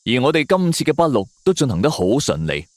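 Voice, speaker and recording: A man's voice: mid-range voice, very animated, fast talker, no echo, clean studio sound. A man narrating a scripted suspense story in a dramatic storytelling manner.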